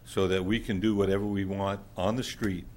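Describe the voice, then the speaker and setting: A man with a loud, booming voice giving a blunt, plainspoken public comment at a council meeting. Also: little pitch movement, a little fast, a little hiss or hum. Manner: blunt, plainspoken, with many fillers. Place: council chamber.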